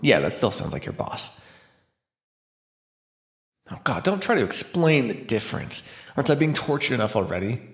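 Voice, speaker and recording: A man's voice: mid-range voice, sing-song. A man voicing a starving captive vampire in an immersive roleplay monologue, sardonic and flirtatious with a desperate edge. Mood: sarcastic, bitter. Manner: sardonic, teasing, breathy pauses and sighs. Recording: roomy, echoing sound.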